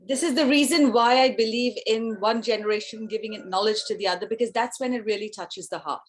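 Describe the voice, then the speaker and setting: A woman on a video call earnestly pitching a project, her requests a little rambling. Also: fast. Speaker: project organizer.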